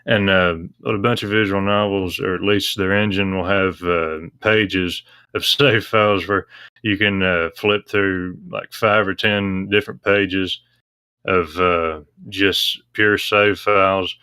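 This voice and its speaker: A man with a low, bright voice explains things in a casual, halting way. His pitch moves little, and the sound is dry and close. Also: flat delivery, clear, resonant tone, no echo. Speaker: discussion panelist on a visual novel talk stream. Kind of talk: casual discussion.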